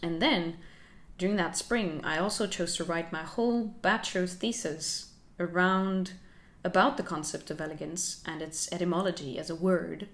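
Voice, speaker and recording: A young woman talks through her learning journey for her channel. She is soft-spoken and reflective, with a slightly low voice, and she laughs lightly as she goes. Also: moderate pace. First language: Finnish. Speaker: channel host. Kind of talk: casual talking-head vlog.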